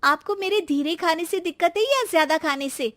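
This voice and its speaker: A woman voicing a mild, patient young daughter-in-law in a cartoon moral story, speaking gently and a bit hesitantly. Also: extremely high pitch, moderate pace, voice pushed up.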